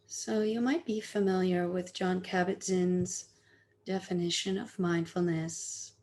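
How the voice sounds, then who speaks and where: A woman teaching meditation, giving gentle, soothing guided instructions with long pauses between phrases. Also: lowish voice, steady tone.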